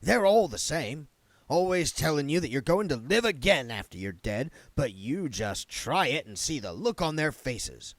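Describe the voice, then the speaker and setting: Guy doing a smug cynical undead man, pitched up, storybook character, dry close sound. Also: very animated, moderate pace, dry recording. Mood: scornful. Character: proud, cynical undead male activist.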